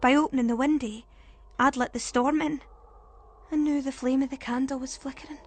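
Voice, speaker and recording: A woman playing a frightened but resolute narrator, telling a first-person ghost story in a suspenseful, deliberate way. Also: high voice, steady tone, slight background noise, lightly acted. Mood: dread.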